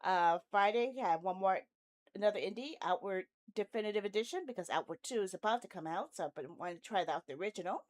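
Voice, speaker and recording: A woman's voice: medium pitch, fast. Rambling woman livestreamer chatting casually. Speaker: video game livestreamer, host.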